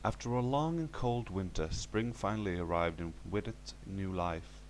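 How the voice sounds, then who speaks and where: A man's voice: deep voice, moderate pace, a little hiss or hum. A man reading a scripted first-person horror story as its narrator.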